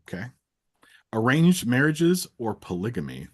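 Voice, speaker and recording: A man with a husky edge and a lively, swooping delivery. He plays interviewer, firing off quick either-or choices and teasing his guest as he goes. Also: medium pitch. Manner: playful, teasing. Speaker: interviewer, host. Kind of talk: rapid either-or quiz in casual chat.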